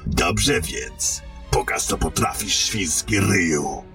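An actor playing an insolent rebel soldier in a fantasy audio drama, sneering and defiant. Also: male voice, low-pitched, takes it slowly. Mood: mocking, defiant. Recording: distant voice under loud music.

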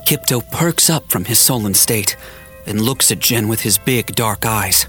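A man's voice: fairly low pitch, unhurried, fairly bright tone, soft background music. A man narrating an audio drama in a scripted, dramatic storytelling style.

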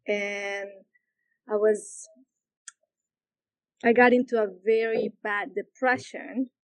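A woman from Peru, a yoga teacher, answering interview questions slowly and with many pauses and fillers. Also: medium pitch, fairly even intonation, no room sound. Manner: hesitant, searching for words, many fillers.

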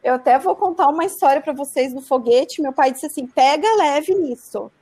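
Mulher animada contando história, voz aguda. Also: female voice, high voice, normal speed. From Santa Catarina, Brazil. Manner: animated, frequent "né" tags. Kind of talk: casual storytelling.

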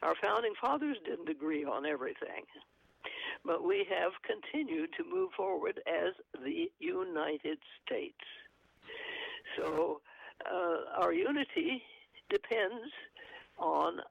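A man with a gravelly voice talks in a halting, earnest way with many fillers, discussing and reading from an article. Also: high-pitched, very animated, dry recording, muffled recording. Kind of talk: conversational commentary, reading aloud from an article.

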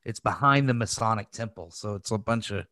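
A man's voice: on the low side, fairly quick, sharp tone, no room sound. American man in a dry, close podcast chat. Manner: informal, profane, conversational. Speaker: podcast co-host. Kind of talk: casual chat.

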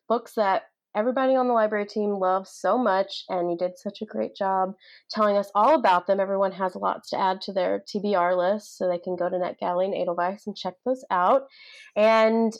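A woman hosting a podcast in a casual, enthusiastic and complimentary chat with her guest. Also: medium pitch. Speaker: podcast host.

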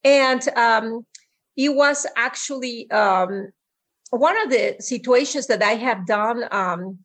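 Mexican-accented middle-aged woman, halting speech. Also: a little high, fairly bright tone. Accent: Mexican Spanish. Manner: halting, many ums and restarts. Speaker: podcast guest. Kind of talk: personal story in an interview. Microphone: remote call.

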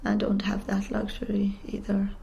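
A woman answering an interview in a measured, thoughtful way, now and then dropping into soft, whispery stretches. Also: mid-range voice, monotone, creaky, dull audio, slight background noise. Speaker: interviewee, community worker.

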